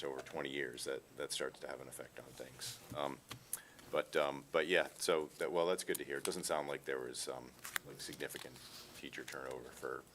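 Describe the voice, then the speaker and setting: A man, a board member, asking questions at a public meeting in a halting way with many pauses and fillers. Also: rumbling low, fairly bright tone, a little hiss or hum. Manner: halting, lots of ums.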